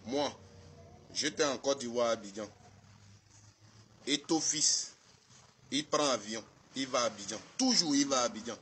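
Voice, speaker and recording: Guy w cameroonian accent doing a comedy rant, bright clear voice, pleading and fed up. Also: medium pitch, normal speed, pauses often, a little hiss or hum. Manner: exasperated, pleading, repetitive. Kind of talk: comic monologue to camera.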